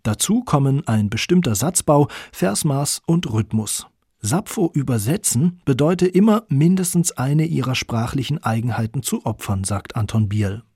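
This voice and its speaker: A man narrates a scripted radio feature in a mid-pitched voice with a lot of gravel in it. The sound is very dry and close, with a husky grain to the words. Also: lively intonation, moderate pace, warm tone, no echo.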